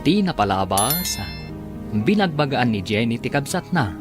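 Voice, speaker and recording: A man's voice: medium pitch, big pitch swings, measured pace. A man narrating and announcing a radio drama, drawing out titles and credits with emphasis. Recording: radio drama with music underneath.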